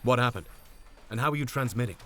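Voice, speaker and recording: An actor playing a commanding, no-nonsense mission commander in an audio drama, terse and authoritative. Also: male voice, mid-range voice, fast talker, sharp tone, lightly acted.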